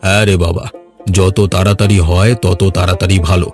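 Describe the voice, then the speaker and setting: Cartoon village father, played by a man: low voice, middle-aged, earnest and persuasive, music underneath. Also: level tone, brisk, lightly acted. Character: earnest village father planning his son's wedding. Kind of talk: cartoon voice acting in a family drama. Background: background music.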